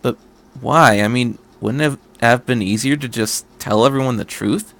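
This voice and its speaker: A man's voice: medium pitch, normal speed, gravelly, a bit bright, voice pushed down. A man voicing an anxious teenage boy pilot in a story, hesitant and incredulous as he questions. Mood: hesitant, puzzled.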